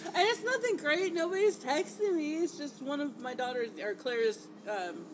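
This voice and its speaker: A chatty woman co-hosts a casual podcast, her voice stuffy and congested from a cold. She sits a little high for a woman and laughs easily. There is a clear background hiss on the recording. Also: expressive, normal speed. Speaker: podcast co-host, groomer. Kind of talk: casual chat. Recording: noisy home recording.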